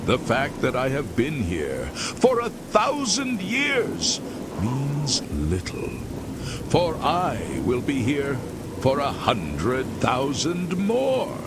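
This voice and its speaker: Gravelly old damned priest, super slow, voice swoops way up and down, heavy rain behind. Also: male voice, medium pitch, wildly swooping pitch, heavy background noise, full character voice. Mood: solemn. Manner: slow, solemn, drawn-out delivery. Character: ancient damned former priest, weary and lost. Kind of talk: game character dialogue. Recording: loud rain and thunder behind.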